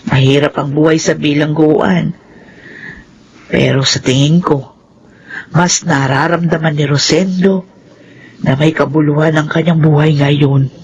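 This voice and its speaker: A woman's voice: deep voice, steady tone, measured pace, long pauses, darkish tone, slightly rough, muffled recording, heavily acted. An actor playing a kindly older woman in a Filipino radio drama, consoling and homely in manner. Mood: gentle, earnest. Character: kindly older woman, a caring prison visitor.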